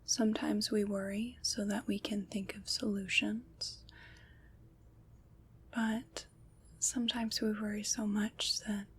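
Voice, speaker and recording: Woman doing a kind soothing witch, asmr roleplay, super breathy whisper, really slow n reassuring. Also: mid-range voice, flat delivery. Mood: gentle, reassuring. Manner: slow, soothing, reassuring, pausing between short phrases. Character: gentle, caring female witch soothing a worrier. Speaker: ASMR performer.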